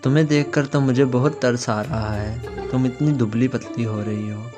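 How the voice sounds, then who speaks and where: A sly, mischievous monkey thief from a children's cartoon tale, acted out by a man. He is cocky and exclamatory, muttering his schemes in a sing-song way, with music clearly playing behind him. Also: medium pitch, fairly even intonation, moderate pace, close to an everyday voice. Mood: coaxing. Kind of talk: animated children's story character acting.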